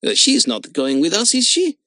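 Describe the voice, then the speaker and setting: A man's voice: high voice, wildly swooping pitch, moderate pace, sharp tone, dry recording, clean studio sound, pitched above the performer's own voice, exaggerated voice. A man putting on a surly boy tiger tamer. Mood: scornful. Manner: scornful and sulky.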